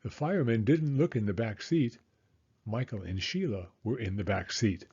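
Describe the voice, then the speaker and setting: A man reading a children's picture book aloud in an expressive, animated way, as a storyteller speaking to young listeners. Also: lowish voice, moderate pace, slightly rough, muffled recording. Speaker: storyteller, former school teacher.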